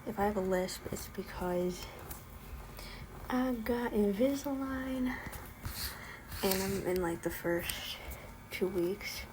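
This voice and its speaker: A woman's voice: mid-range voice, slow, pauses often, noisy background. A young woman with a slight lisp ranting casually, rambling with many ums and sounding exasperated. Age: young adult. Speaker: vlogger. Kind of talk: casual spoken rant.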